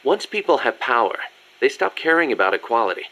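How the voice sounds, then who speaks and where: A man's voice: mid-range voice, big pitch swings, a little fast, fairly bright tone, rough recording. A man playing a businesslike, articulate military second-in-command explaining things in a video game. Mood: serious, explanatory. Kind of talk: scripted video game briefing dialogue.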